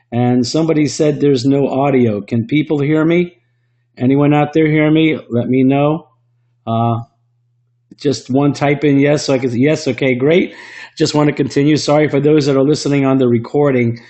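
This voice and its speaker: A man with a slightly rough voice from illness, presenting an online webinar into a computer mic in a salesy way with frequent restarts. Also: medium pitch, warm tone. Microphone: computer microphone.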